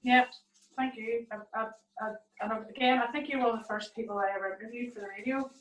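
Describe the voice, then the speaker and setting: A woman hosts a live video event from her kitchen, heaping praise on guests and tripping over words now and then. She is set back from the mic in a roomy, echoey space with a faint hiss, her voice a bit high. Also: fairly even intonation, fast, recorded from a distance. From Northern Ireland. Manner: warm-hearted, effusive praise, stumbles. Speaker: chef and event host. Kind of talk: hosting a live virtual event. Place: home kitchen. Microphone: video call.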